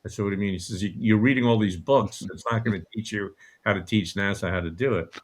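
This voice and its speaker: Older male guest, slightly low voice, conversational, halting reminiscence on an online call.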